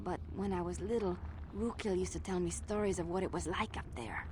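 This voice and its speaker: A woman's voice: fairly low pitch, fairly quick, darkish tone, a little hiss or hum, natural voice. A woman voicing a wistful, kindly young slum villager in a video game, speaking earnestly. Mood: wistful, tender. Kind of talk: video game dialogue acting.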